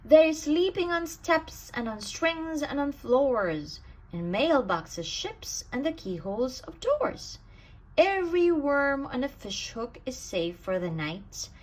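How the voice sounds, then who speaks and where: A woman reading a rhyming children's bedtime story aloud in an expressive, sing-song way with emphatic stresses. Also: sits high, slow, low-quality recording. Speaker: storybook reader.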